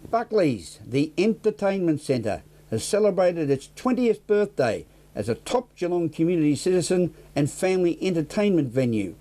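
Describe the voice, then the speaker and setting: Animated, mellow Australian newsreader, male. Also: fairly high pitch. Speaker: news presenter. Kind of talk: scripted news reading.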